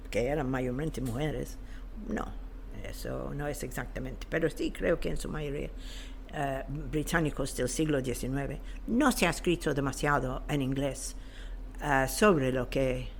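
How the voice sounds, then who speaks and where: Older woman, slow and halting. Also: extremely low pitch, animated, a little hiss or hum. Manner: halting, searching for words. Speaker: podcast guest. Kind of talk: interview answers, reflective.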